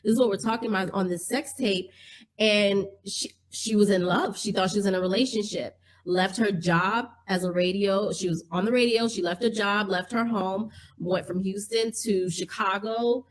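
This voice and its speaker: A woman's voice: medium pitch, moderate pace. A woman co-hosts a casual podcast, keeping up a stream of supportive murmurs. When she tells a story herself she gets hesitant, restarting her phrases as she goes. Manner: supportive, chimes in with affirmations, hesitant when recounting. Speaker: podcast co-host. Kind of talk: casual chat.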